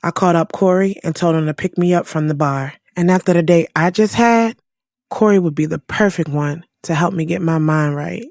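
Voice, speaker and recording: A woman's voice: on the low side, moderate pace, a little mellow, no echo, studio quality, natural voice. A woman playing a frank, sassy young single woman in an audio drama, conversational, slangy and wry. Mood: amused, sly. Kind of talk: first-person audio drama narration and dialogue.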